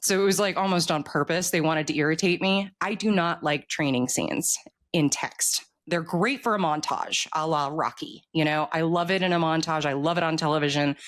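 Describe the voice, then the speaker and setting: An American woman in her forties talking casually as an interview guest, telling stories in an animated way. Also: sits low, moderate pace, dry recording, studio quality. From United States. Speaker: author guest, military veteran.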